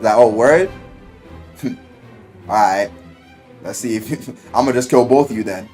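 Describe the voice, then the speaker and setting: A man giving a casual, enthusiastic recap of a story, animated and slangy, swearing freely and acting out the quotes. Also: mid-range voice, unhurried. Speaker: video game and visual novel reviewer. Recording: music playing behind the voice. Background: music.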